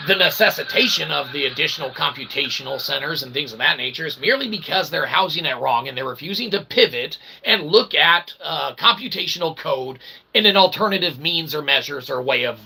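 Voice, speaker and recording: Gravelly, higher-pitched man rambling casually. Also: animated, a little fast, pushed and bright. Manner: folksy, repeatedly checking in with "okay" and "all right"; irreverent, slangy, mock-grand wording. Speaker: independent researcher and vlogger.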